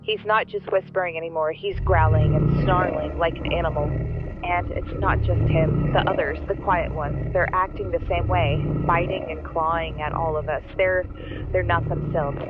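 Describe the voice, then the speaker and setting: An actress playing a terrified, exasperated nurse, urgent and alarmed, calling into a horror radio drama over a telephone filter. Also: female voice, fairly low pitch, fairly even intonation, moderate pace, over loud music. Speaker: caller, nurse. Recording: muffled lo-fi phone line.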